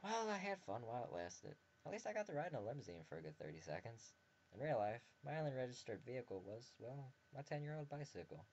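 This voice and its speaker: A man's voice: medium pitch, sing-song, fast, a little mellow. A man reading a visual novel aloud as a game video host, with joking comments between passages. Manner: reads narration and dialogue in a mostly plain voice, joking asides. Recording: dull lo-fi home recording with faint background ambience.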